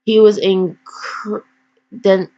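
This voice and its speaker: A woman's voice: mid-range voice, level tone, extremely slow, warm tone. A woman casually reading fan fiction aloud with slangy, blunt, digressive commentary and mock scolding. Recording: dull, lo-fi home recording.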